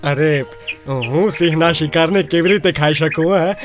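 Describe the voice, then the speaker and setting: A man voicing a hungry, wary cheetah in an animated children's story. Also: on the high side, moderate pace, over music, close to an everyday voice. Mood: nervous, hesitant.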